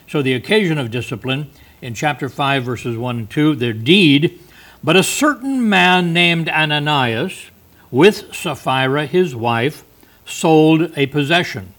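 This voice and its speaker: A man's voice: mid-range voice, fairly bright tone. A man teaching a Bible study, explaining verses in an unscripted, conversational way with many fillers and restarts.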